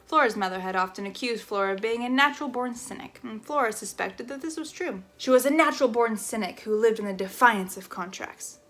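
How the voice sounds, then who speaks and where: A woman reading a children's chapter book aloud in an expressive storytelling style. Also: on the high side, a little fast, few pauses.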